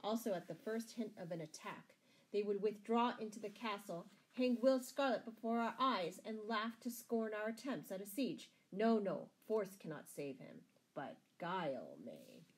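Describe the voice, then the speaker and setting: A woman's voice: medium pitch, lively intonation, moderate pace, pitched above the performer's own voice, close to an everyday voice, low-quality recording. A woman voicing a bold, courteous male outlaw leader in a storybook, with an emphatic, commanding manner.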